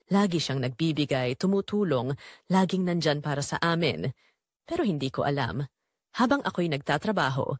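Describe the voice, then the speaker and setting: A woman's voice: lowish voice, dry recording. Actress as wronged married daughter, female storyteller for audio drama. Emotive and confiding, widely swinging intonation, bone-dry recording. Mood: pained, confessional. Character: betrayed married daughter confiding a painful family secret. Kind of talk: first-person dramatic storytelling narration.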